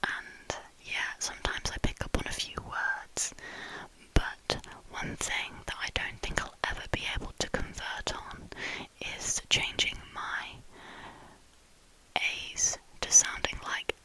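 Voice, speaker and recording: A woman in her twenties with a southern English accent, softly whispering trigger words and chatting as an ASMR creator. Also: takes it slowly, low-quality recording. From southern England. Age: 20s. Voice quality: soft whisper throughout.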